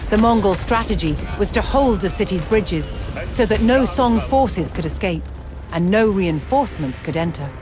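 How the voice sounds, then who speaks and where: A woman giving measured, formal scripted narration in the style of a historical documentary for a game. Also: on the low side, darkish tone. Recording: music behind the voice. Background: background music.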